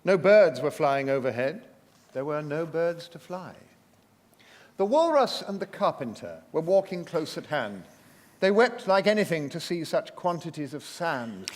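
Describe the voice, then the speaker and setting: A man narrating verse as an actor in an expressive storytelling recital on a theatre stage. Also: on the high side, unhurried. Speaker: actor reading poetry as narrator. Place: theatre stage before an audience. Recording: reverberant theatre hall.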